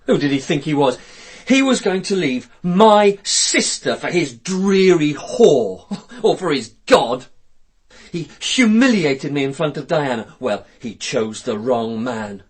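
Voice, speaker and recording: A sneering, husky British killer. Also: male voice, on the high side, animated, slow pace, exaggerated voice. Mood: bitter contempt. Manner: sneering, taunting. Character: contemptuous, bitter male murderer holding hostages at gunpoint. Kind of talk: radio drama acting.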